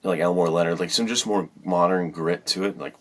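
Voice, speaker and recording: A man's voice: low voice, steady tone, a little fast. A man co-hosting a podcast as an author, chatting in an informal, enthusiastic way. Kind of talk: casual chat.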